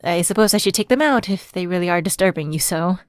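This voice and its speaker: A woman's voice: fairly low pitch, fast, no room sound, clean studio sound, close to an everyday voice. A woman playing a personal assistant who is secretly a fallen angel, evasive and coaxing, in a conversational ASMR roleplay. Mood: nervously breezy.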